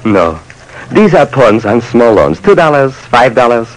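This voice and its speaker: An actor playing a poor, earnest old pawnbroker in a vintage radio drama, speaking in halting, philosophical phrases. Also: male voice, mid-range voice, big pitch swings. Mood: hesitant. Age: older. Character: poor old pawnbroker who lends money on souls.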